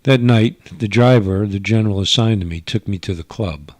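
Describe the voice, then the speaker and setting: A man's voice: on the low side, moderate pace, darkish tone, dry recording. A man narrating a first-person radio drama in old-time style, as a government investigator giving his report.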